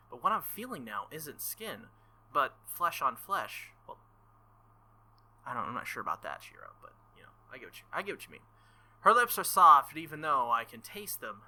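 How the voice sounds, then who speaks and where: Bright-voiced man reading a visual novel. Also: a little high. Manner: playful, joking asides and laughter. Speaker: let's play streamer.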